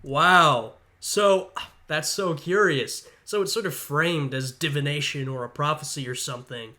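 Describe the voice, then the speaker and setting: A man's voice: fairly high pitch, unhurried, sharp tone. Congested man musing aloud. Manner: thinking aloud, hedging and musing. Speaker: history student reacting to a video. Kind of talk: casual reaction commentary.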